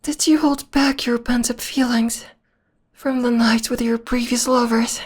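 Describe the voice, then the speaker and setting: A woman playing a possessive, obsessed young vampire lover in an ASMR romantic roleplay, speaking softly and intimately, often in a breathy whisper. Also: fairly high pitch, little pitch movement. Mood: possessive, tender. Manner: slow, intimate, sweetly controlling.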